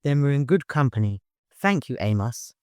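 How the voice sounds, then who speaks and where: Male narrator as a shrewd commanding leader, mid-pitched and wry, for an audiobook adventure. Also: sing-song, normal speed, smooth, no echo, studio quality, close to an everyday voice. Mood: genial, probing.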